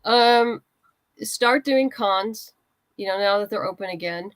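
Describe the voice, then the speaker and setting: A woman's voice: medium pitch, slow pace, no room sound, poor audio. A woman chatting casually as an interview guest, giving practical advice in a conversational way. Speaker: guest writer and comics creator.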